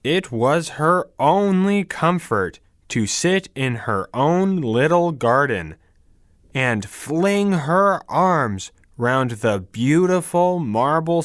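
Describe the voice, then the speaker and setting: A man reads storybook prose and single words for language learners, extremely slowly and deliberately. His pitch moves widely as he goes, each word is carefully measured, and the sound is close and dry. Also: a bit bright, dry recording. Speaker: English reader for a vocabulary lesson. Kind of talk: slow, careful scripted reading of words and storybook narration.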